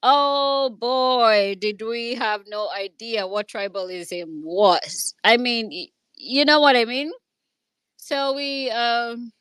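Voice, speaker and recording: Kenyan woman, kinda high bright voice, lots of restarts and stumbles, dry close sound. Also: clean tone, no room sound. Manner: hesitant, with many restarts and repeated words; earnest, speaks from her own experience. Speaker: speaker in an online audio discussion. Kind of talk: personal storytelling in a casual discussion.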